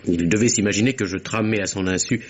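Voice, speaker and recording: An actor playing an earnest secretary in a vintage French radio mystery, speaking in a measured, formal and precise way. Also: male voice, on the low side, breathy edge. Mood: grave, composed. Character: earnest male secretary recounting a deadly affair. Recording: dull vintage radio recording, faint hiss.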